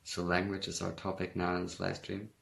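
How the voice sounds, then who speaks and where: A man's voice: deep voice, monotone, a little fast, gravelly. A young man with a German accent, chatting casually on a phone livestream and reading out comments in a laid-back, rambling way. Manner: laid-back, rambling, reads comments aloud, laughs often. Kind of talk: casual chat.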